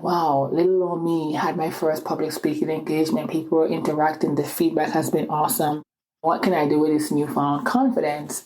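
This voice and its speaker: A young woman with an Antiguan island accent hosts her own podcast, talking alone into the mic. Her voice sits low, and she keeps her talk upbeat and chatty, with plenty of casual asides to her listeners. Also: dark tone, a little hiss or hum, rough recording. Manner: upbeat and chatty, many "you know" asides. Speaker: podcast host. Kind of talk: solo podcast monologue.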